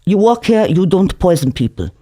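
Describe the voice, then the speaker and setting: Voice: medium pitch, very animated, moderate pace, warm tone, voice pushed up. A narrator voicing an angry, suspicious café chef in a novel reading. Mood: angry, accusing.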